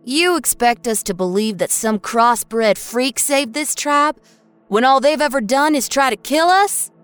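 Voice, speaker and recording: Outspoken doubting child voiced by a woman, raised pitch, indignant, challenging, for story narration. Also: lively intonation, measured pace, a bit bright, clear, resonant tone, faint music behind. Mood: indignant disbelief.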